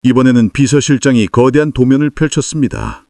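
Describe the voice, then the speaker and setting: A man's voice: mid-range voice, animated, brisk, creaky, mellow, no room sound. A man narrating a dramatic scripted story as an audiobook narrator.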